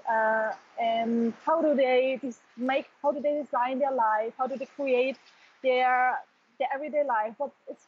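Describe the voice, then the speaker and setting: Husky, high-voiced German woman, muffled call. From eastern Germany. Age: middle-aged. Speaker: podcast guest. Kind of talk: conversational interview answers. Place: half-open office in tropical rain. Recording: dull, muffled remote call.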